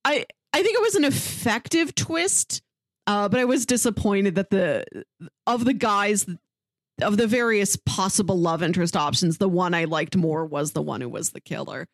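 A woman's voice: mid-range voice, expressive, moderate pace, no echo. A woman co-hosting a podcast, talking through a review in a casual, conversational way. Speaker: podcast co-host.

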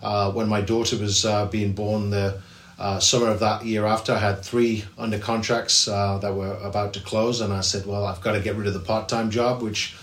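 English man, real estate agent guest, low voice, chatty with lots of fillers, roomy echo. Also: flat delivery, moderate pace. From England. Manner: chatty, many fillers and restarts. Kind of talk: podcast interview.

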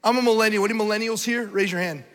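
Bright, high-voiced urgent preacher man. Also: fairly even intonation, very fast. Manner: urgent, emphatic, rhetorical repetition. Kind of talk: passionate sermon. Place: large church hall. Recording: reverberant hall.

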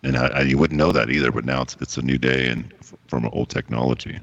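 A man's voice: extremely low pitch, steady tone, fast talker, fairly bright tone, dull audio. A man co-hosting a casual live stream, easygoing and agreeable, reading out viewer posts and chat messages. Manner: laid-back, agreeable, reads chat aloud.

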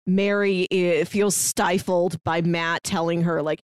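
A woman's voice: fairly low pitch, fairly even intonation, slow, clear, resonant tone, no echo. Chatty woman podcast host, dry sound. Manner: chatty, asks questions, then recaps at length. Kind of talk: casual chat recapping a show.

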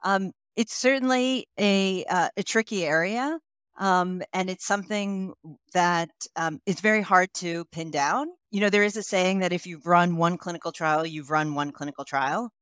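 American woman, low and smooth. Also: a bit bright, no echo, clean studio sound. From United States. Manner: measured, many ums while thinking. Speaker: CEO guest. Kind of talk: podcast interview.

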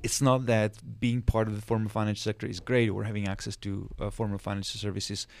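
A man talks through his work in a measured, explanatory way. His voice sits low with a gravelly edge and stays flat in tone. The sound is close and dry. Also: dry recording. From Czech Republic. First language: Czech. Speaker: guest, financial sector specialist. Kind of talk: podcast interview.

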